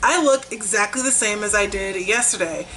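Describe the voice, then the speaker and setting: A woman's voice: mid-range voice, normal speed, sharp tone, slight background noise, low-quality recording. A young woman chatting casually in a vlog, upbeat and talkative, while she is losing her voice. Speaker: vlogger. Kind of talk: casual weight-loss vlog.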